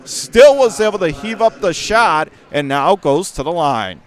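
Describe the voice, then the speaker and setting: A man with a high voice calls high school basketball play-by-play for radio. His calls come quick and jump to shouts when a big shot falls. He is courtside in a school gym. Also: expressive. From Western New York.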